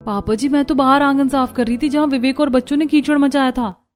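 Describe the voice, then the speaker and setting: A woman's voice: high voice, fairly even intonation, a little fast, close to an everyday voice. A woman voicing an overworked young daughter-in-law in a cartoon story, exasperated and complaining. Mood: defensive. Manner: complaining, put-upon. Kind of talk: Hindi cartoon story voice acting.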